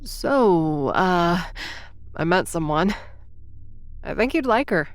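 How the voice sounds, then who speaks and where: A woman's voice: fairly low pitch, animated, slow, dry recording. A woman narrating and playing a wry, anxious would-be influencer close to thirty, full of rambling self-deprecating asides. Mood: placating. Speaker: narrator and protagonist. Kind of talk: first-person audio drama narration.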